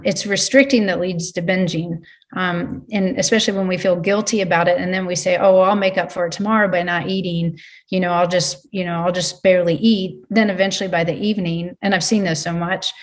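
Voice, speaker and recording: A woman in her fifties, a sports nutritionist, giving earnest advice in a casual podcast chat, often restarting her sentences. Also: on the low side. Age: 50s. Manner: earnest, advising, many restarts.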